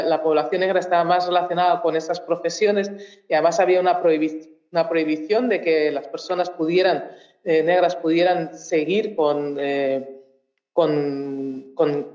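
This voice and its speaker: A woman from Mozambique, a native Portuguese speaker, giving a public talk as a researcher, thinking aloud with frequent pauses and restarts. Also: on the low side, darkish tone, echoey room, muffled recording. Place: university auditorium.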